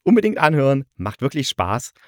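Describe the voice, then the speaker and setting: A man's voice: medium pitch, big pitch swings, fairly quick, no echo, clean studio sound. A man hosting a podcast, giving a casual, chatty and enthusiastic review in colloquial language. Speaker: podcast host and radio play reviewer.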